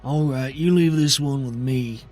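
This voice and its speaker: A man's voice: mid-range voice, a little slow, a little mellow, close to an everyday voice. A clawed alien boss acted out by a man at a game table, curt and commanding. He simmers with anger and gives short orders, with music underneath.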